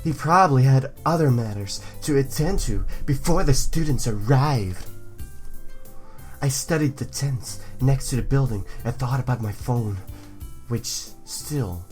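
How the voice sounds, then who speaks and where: A woman's voice: extremely low pitch, animated, unhurried, darkish tone, soft background music. A woman hosting a let's play, reading a visual novel aloud with playful commentary.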